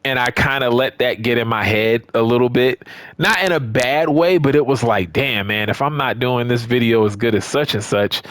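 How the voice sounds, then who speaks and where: A man's voice: mid-range voice, moderate pace, fairly bright tone, no echo. A man talking casually and off the cuff to his channel's viewers, rambling conversationally and repeating phrases for emphasis. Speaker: YouTube host of a wrestling game and action figure channel. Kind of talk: casual unscripted chat to viewers.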